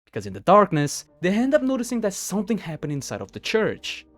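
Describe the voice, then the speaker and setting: A man's voice: high-pitched, wildly swooping pitch, quick pace, a bit bright, dry recording, soft background music. A man reading scripted narration, retelling stories as the narrator of a video. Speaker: narrator of a horror video countdown. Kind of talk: scripted narration, storytelling recap.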